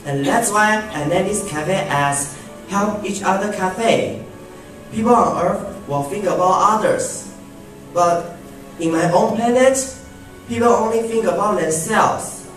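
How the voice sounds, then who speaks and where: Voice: mid-range voice, takes it slowly, close to an everyday voice. An amateur radio drama performer playing a kind, earnest male alien who runs a small cafe. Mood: earnest pleading. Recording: echoey hall from far off, music behind.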